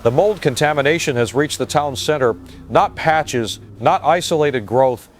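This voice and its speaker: A man's voice: a little high, normal speed, a little hiss or hum, lightly acted. A man playing a grave, shaken field reporter giving a hesitant eyewitness report in an audio drama. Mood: horrified. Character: shaken male field reporter at disaster scene.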